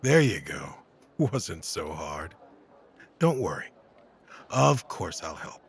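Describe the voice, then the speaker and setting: A man playing a courteous, reassuring veteran knight in an audio drama, with a gravelly voice. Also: deep voice, sing-song, slow, a little hiss or hum, full character voice. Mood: kind, patient.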